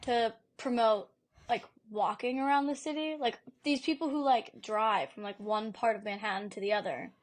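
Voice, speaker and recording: A young woman co-hosting a casual podcast, rambling with lots of "like" and animated emphasis. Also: fairly high pitch, moderate pace. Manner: rambling, filler-heavy, animated. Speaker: podcast co-host. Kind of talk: casual chat.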